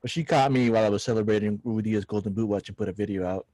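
A man's voice: lowish voice, racing, warm tone, dry recording. A man co-hosting a casual sports podcast, hesitant and joking, with many fillers. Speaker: podcast co-host.

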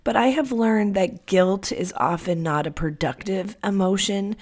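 A woman's voice: medium pitch, unhurried, a little mellow. A woman hosting a solo podcast in a casual, personal, confiding way, her voice congested from a cold. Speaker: podcast host.

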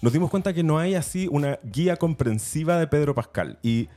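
Chilean male podcast co-host, mellow tone, slangy and chatty, soft music underneath. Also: fairly high pitch, expressive, fairly quick. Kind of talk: casual podcast chat.

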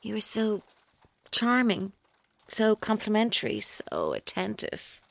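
An intense woman in an audio drama. Her delivery is cryptic and taunting, with wide swings of pitch over a deliberate, menacing cool. The sound is dry, with no room around it. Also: huge pitch swings, creaky, no room sound, heavily acted. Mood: menacing, accusing. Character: intense, coolly menacing woman named Kerry, toying with a one-night stand. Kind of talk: radio drama acting.

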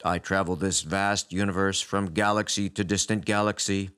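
A man voicing a weary, touchy male space alien who talks like a growling tough guy, deep and gravelly. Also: expressive, normal speed, fairly bright tone. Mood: gloomy.

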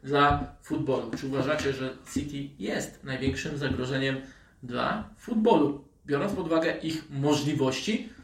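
A man's voice: medium pitch, normal speed. A man hosting and moderating a football podcast discussion, recorded with a roomy echo at some distance from the mic. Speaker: podcast host.

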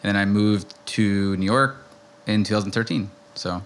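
A man's voice: on the low side, moderate pace. An American man speaking casually as a podcast guest, telling stories about himself in conversation. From suburbs of Philadelphia, United States. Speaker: podcast guest, travel content producer.

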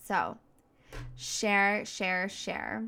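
A woman's voice: medium pitch, very slow, vocal fry, pushed and bright, a little hiss or hum. A woman giving a motivational solo podcast talk as a coach, speaking in an emphatic, informal, slangy and outgoing way. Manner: outgoing, self-described big voice.

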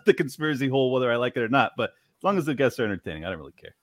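A man's voice: mid-range voice, sing-song, very fast, dry recording. Rambling podcast host man, dry sound. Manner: rambling, restarts, laughs a lot. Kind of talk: casual political chat.

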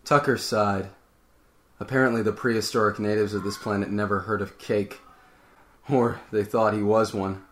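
A man's voice: lowish voice, fairly even intonation, normal speed, fairly bright tone. A man narrator giving a scripted dramatic reading of fan fiction.

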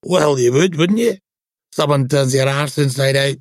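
A man voicing a gruff, middle-aged Scottish female detective inspector in an audiobook, blunt, slangy and mocking. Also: medium pitch, moderate pace, dry recording, clean studio sound, pitched above the performer's own voice, close to an everyday voice. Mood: blunt, sardonic.

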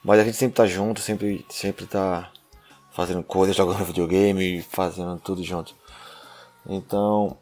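Low-voiced northeastern Brazilian man. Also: little pitch movement, unhurried, darkish tone, soft background music. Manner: hesitant, with restarts and light laughs. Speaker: podcast co-host. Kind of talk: casual podcast chat.

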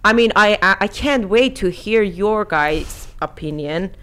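A woman's voice: mid-range voice, expressive, unhurried, fairly bright tone. A woman in her thirties from Iran who has lived in many countries, co-hosting a casual panel discussion. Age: 30s. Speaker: podcast co-host.

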